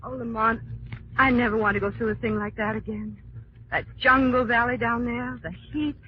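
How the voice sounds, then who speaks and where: A woman's voice: on the high side, steady tone, moderate pace, lightly acted. A woman playing a refined, anxious young society lady in a 1940s radio drama, polished and dramatic. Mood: relieved, weary. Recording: muffled, noisy 1940s radio recording.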